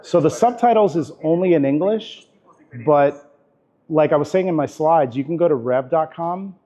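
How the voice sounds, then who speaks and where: A man teaching a live workshop class in a camera store, talking in a relaxed, chatty way with lots of fillers. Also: on the high side, normal speed. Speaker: workshop instructor. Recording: dull lo-fi recording.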